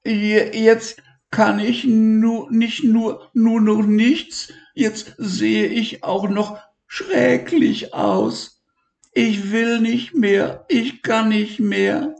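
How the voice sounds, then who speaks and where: A man's voice: sits high, extremely slow, creaky, a little mellow, voice pushed up, heavily acted. A weepy, fretful little patchwork elephant, put on by a man reading a storybook, his voice pushed far up above the reader's own. He whimpers and stammers, and the words ring a little in an echoey room. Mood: distraught.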